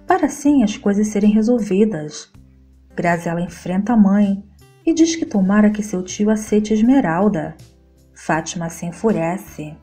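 A woman reading a scripted soap opera plot summary in a steady, even voice, with a Brazilian Portuguese accent. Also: mid-range voice. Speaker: soap opera recap narrator. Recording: voice-over with faint guitar music behind.

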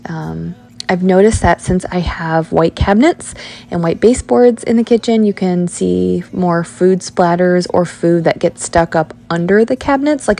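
A woman's voice: on the low side, gravelly. A woman in her thirties chatting casually about cleaning her home, with lots of ums and likes. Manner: hushed, quick talk while kids nap. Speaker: cleaning vlogger. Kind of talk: casual voice-over chat. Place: home, indoors. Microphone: handheld camera at arm's length.

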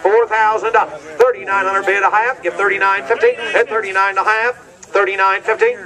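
A man's voice: high-pitched, fairly even intonation, brisk, sharp tone. A man working as an auctioneer at an outdoor farm auction, with a rhythmic bid chant and joking asides to the crowd. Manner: rhythmic, rising bid calls with banter. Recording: distant voice over a crowd outdoors.

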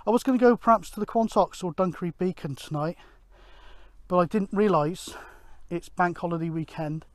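A middle-aged man gives casual outdoor vlog commentary in a rambling, halting way, thinking aloud. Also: sits high, no echo, studio quality. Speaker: amateur radio vlogger, presenter. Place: outdoors on an open grassy hillside.

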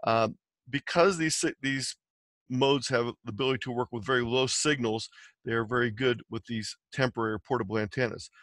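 Man, medium pitch, explanatory slide talk, matter-of-fact, instructional, dry close recording. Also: fairly even intonation, brisk, a bit bright, dry recording. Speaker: amateur radio presenter. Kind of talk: slide presentation, explanatory lecture.

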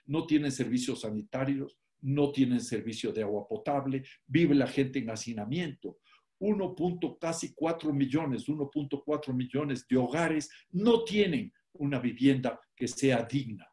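An older Ecuadorian man delivers a public talk over a laptop webcam from home. His delivery is emphatic and measured, building points through lists and leaning on key words, at an ordinary mid pitch. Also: mellow. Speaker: economist giving an online talk. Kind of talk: public lecture. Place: home room, indoors. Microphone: laptop webcam on a video call.

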